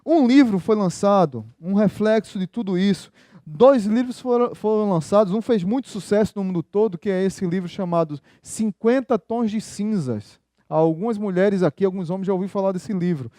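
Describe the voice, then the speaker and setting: A pastor with a high yet mellow voice delivers a sermon, talking with the congregation in an easy, conversational way. He keeps asking them rhetorical questions, and his voice sounds dry and close to the microphone. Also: normal speed, dry recording.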